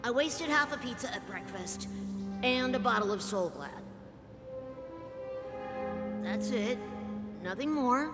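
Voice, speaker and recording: Game villain-ish taunter: actress as a defiant, sarcastic woman, very animated pitch, lazy pace, big reverberant hall. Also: lightly acted. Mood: flippant, teasing. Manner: sarcastic, flippant, drawn-out taunting. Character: brash, irreverent, mocking investor, defiant toward authority. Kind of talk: dramatic video game voice acting. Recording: long hall reverb, loud music behind.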